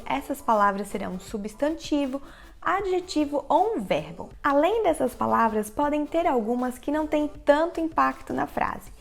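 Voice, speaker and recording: A woman with a Brazilian Portuguese accent explaining English listening tips directly to the viewer in a clear, instructional vlog narration. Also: high voice. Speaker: English teacher, channel host. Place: living in the United States.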